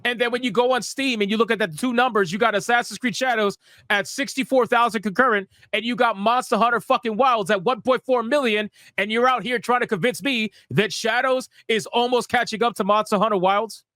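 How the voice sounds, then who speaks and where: A man's voice: very high-pitched, brisk, few pauses, sharp tone, no room sound, studio quality. A man giving casual, sweary, slangy commentary as a livestream host, emphatic and mocking, repeating phrases for effect. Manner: sarcastic, mocking. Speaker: host of a gaming news livestream.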